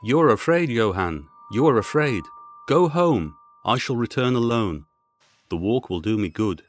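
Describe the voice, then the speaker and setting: A man voicing a curious, headstrong English gentleman on his travels in a gothic audiobook. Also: mid-range voice, expressive, normal speed, a little hiss or hum, natural voice. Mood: defiant.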